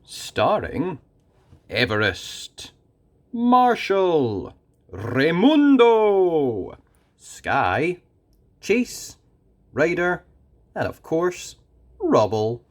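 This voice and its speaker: Slow, highly animated children's storyteller. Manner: expressive, dramatic, with deliberate emphasis. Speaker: storyteller reading a children's book aloud.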